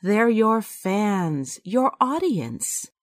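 An actress playing a frank, kindly and loyal playwright's wife in a radio drama, with an earnest, emphatic delivery. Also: female voice, mid-range voice, sing-song, drawn-out words, dry recording, clean studio sound. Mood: exasperated, pleading. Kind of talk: radio drama acting and narration.

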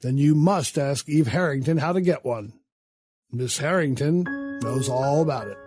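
A man playing a worldly, urbane gentleman in an audio drama, formal and drily insinuating. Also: medium pitch, normal speed, over music, close to an everyday voice. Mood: smooth, ironic. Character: suave, worldly gentleman with sly, knowing irony.

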